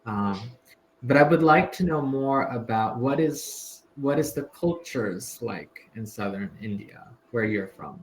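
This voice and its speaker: A man with a mid-range voice leads a relaxed English lesson over a video call. He is friendly but hesitant, often circling back with fillers before he finishes a question, and a faint hiss sits behind him. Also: mid-range voice, a little slow, rough recording. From United States. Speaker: English tutor. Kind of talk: casual conversation lesson.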